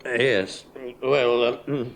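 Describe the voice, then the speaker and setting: An actor in a 1970s radio play, playing a hesitant, gruff male flying instructor. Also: mid-range voice, extremely slow, echoey room.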